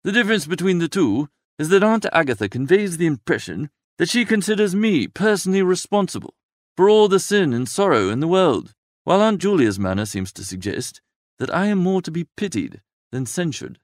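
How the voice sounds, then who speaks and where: A man reading a first-person literary story aloud as an expressive narrator. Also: high-pitched, fairly quick, dry recording, studio quality. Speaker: audiobook narrator.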